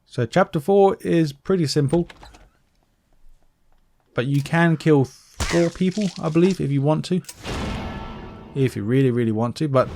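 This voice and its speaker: A man's voice: on the high side, mellow, slight background noise. A man giving a casual video game walkthrough, offhand, often correcting himself and slipping in joking asides. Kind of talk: casual gameplay walkthrough commentary.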